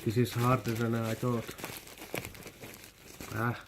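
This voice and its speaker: A Finnish man vlogging a toy unboxing in halting non-native English, hesitant and repeating his words. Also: medium pitch, completely flat delivery, background noise. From Finland. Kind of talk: casual unboxing commentary.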